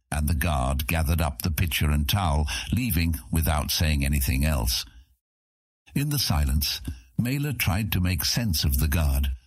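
A man with a husky voice reading scripted fantasy narration as an audiobook narrator. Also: very deep voice, dry recording, clean studio sound.

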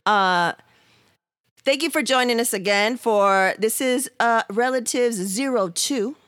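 A woman's voice: fairly high pitch, measured pace, fairly bright tone, smooth, no room sound, clean studio sound. A woman in her thirties from San Diego hosting a podcast, talking in a laid-back, slangy way and laughing often. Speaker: podcast host. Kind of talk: casual podcast chat.

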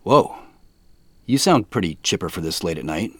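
A man's voice: low-pitched, animated, moderate pace, a bit bright. A man acting as a guy on a family quest in an audio drama, in natural, conversational, slightly hesitant dialogue. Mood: casual, wry. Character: Joseph, adult man on a mysterious family quest.

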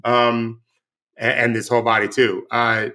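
Man, coach, podcast co-host, forceful bright tone, blunt, loud, opinionated. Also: medium pitch, little pitch movement, unhurried. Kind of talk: casual sports chat.

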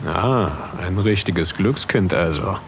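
A man playing a tall, confident, charming yacht deckhand in an intimate audio drama, quietly flirtatious and unhurried. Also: sits low, slight background noise. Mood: friendly, teasing.

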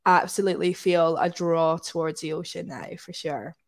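A woman from Scotland speaks as a guest in a casual interview. Her voice sits a little low, and she talks in a reflective, conversational way. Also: fairly even intonation, moderate pace. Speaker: podcast guest.

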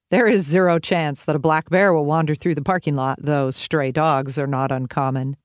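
Female audiobook reader, articulate and measured, crisp tone, dry recording. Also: sits low, dry recording. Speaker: teacher and author reading own audiobook. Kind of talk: scripted audiobook narration.

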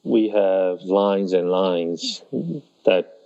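Taiwan-born guy being interviewed, gravelly dark voice, kinda dull lo-fi sound, stops and restarts a lot. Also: sits low, steady tone. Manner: halting, with many restarts and pauses. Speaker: festival organizer. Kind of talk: conversational interview answers.

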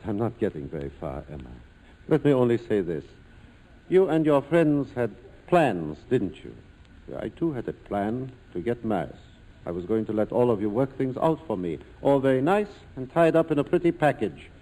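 An actor playing a courteous, reflective police lieutenant in a 1940s radio drama, speaking in a measured, formal way. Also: male voice, medium pitch, very animated, mellow. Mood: wistful, reflective. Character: philosophical, courteous police lieutenant in colonial Saigon. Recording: old radio broadcast, long reverberant hall.

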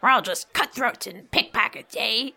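A woman playing a sly old beggar widow in a fantasy audio drama, raspy and creaky, wheedling and sarcastic in a theatrical, folksy way. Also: a little high, moderate pace, pushed and bright, exaggerated voice. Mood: indignant, sarcastic. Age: older. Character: sly old beggar widow, mock-pitiful, salty-tongued.

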